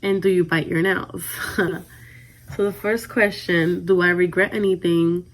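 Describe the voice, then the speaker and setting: A young woman in her twenties with a Dominican accent, chatting casually as she answers viewer questions in a vlog, often trailing off softly at the ends of her lines. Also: fairly low pitch, steady tone, unhurried, low-quality recording. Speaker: vlogger.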